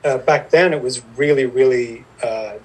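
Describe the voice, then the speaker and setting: A man's voice: mid-range voice, normal speed, breathy edge, low-quality recording. A man, telling stories as a podcast guest in a rambling, self-deprecating way. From Ireland. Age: 50s. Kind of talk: casual storytelling interview.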